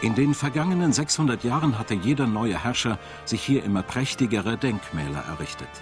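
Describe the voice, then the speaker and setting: A man narrating a scripted documentary in a measured, dramatic storytelling manner. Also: medium pitch, lively intonation. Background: music.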